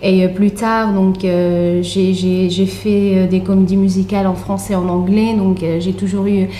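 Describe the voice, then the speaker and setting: Young woman, Arabic mother tongue, warm dark tone, stop-start conversational answers, echoey room with faint noise. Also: mid-range voice, little pitch movement. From Tunisia. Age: young adult. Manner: hesitant, halting delivery with repeated words. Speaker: interview guest, author and translator. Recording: roomy echo, faint background noise.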